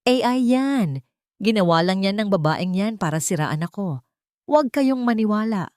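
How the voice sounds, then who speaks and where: A woman performs a murderous schemer from an audio drama, cornered and desperate. Her voice rises high and shrill as she frantically pleads and denies. The sound is dry and close. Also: expressive, normal speed, darkish tone, dry recording, close to an everyday voice. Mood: frantic denial.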